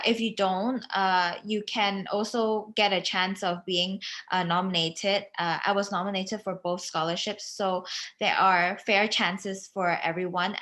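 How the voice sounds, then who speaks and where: A woman in her twenties from Hong Kong, giving an informal online presentation as a recent graduate, with frequent hesitant pauses. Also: medium pitch, a bit bright. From Hong Kong, born in Singapore. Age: 20s. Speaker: recent graduate sharing student experience.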